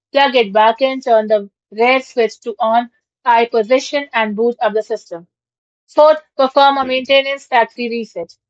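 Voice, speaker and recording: A woman with a fairly high voice narrates a scripted how-to guide as its host. She reads each short heading and then the instruction. The sound is dry and close, a little dull and lo-fi. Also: dry recording. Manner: reads instructions aloud in short headed steps. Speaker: tutorial host. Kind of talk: scripted step-by-step tech tutorial narration.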